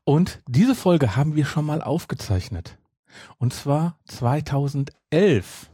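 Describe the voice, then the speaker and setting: A man. His voice is mellow and somewhat rough, recorded dry with no room sound. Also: on the high side, sing-song, slow pace, dry recording. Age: 50s. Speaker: podcast co-host. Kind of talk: casual chat about audio dramas.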